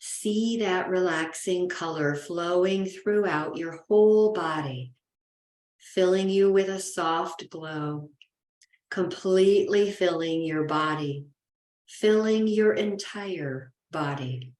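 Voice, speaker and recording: A woman leads a guided relaxation in a roomy, echoing space. Her voice sits a little low, and she speaks very slowly and soothingly. She leaves gentle pauses between phrases as a yoga nidra guide.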